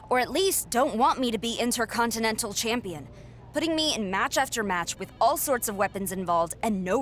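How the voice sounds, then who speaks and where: A woman's voice: fairly high pitch, a bit bright, a little hiss or hum. A woman voicing a determined young female wrestling champion in a game cutscene, earnest and defiant. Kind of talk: video game cutscene dialogue.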